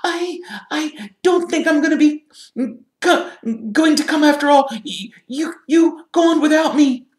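A nervous, stammering teenage witch girl, pitched far up high and reluctant to go along. The storybook character sounds flustered, in an echoey room. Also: a little slow.

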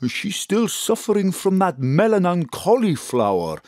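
A man's voice: sits high, big pitch swings, moderate pace, a little mellow, no room sound, studio quality. Wildly swooping, clownish man. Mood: sheepish, protesting. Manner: broken English, frequent tag words. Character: excitable, smitten, clownish Greek man with broken English. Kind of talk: comic audio drama acting.

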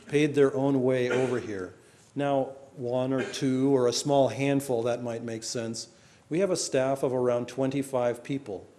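A man's voice: medium pitch, warm tone. A middle-aged man on an indoor stage, giving an earnest, respectful introduction of the main speaker into a stand mic while reading from notes. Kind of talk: formal introduction speech.

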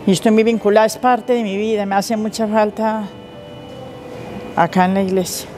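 Mellow Colombian parish woman. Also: mid-range voice, little pitch movement, moderate pace, faint music behind. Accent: Colombian Spanish. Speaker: parish lay minister. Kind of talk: testimony to camera. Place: inside a church, before the altar.

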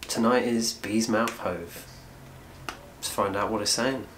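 A man's voice: lowish voice, slow pace, fairly bright tone, slight background noise. A man in his twenties talking casually to camera as a vlogger, in a small room. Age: 20s. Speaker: vlogger and musician. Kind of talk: casual vlog talk.

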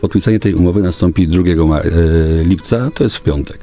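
Deep, dark-voiced middle-aged man, halting. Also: faint music behind. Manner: halting, formal official speech with frequent hesitations. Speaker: local government official, guest. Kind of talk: formal radio interview answers. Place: small radio studio. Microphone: studio boom microphone.

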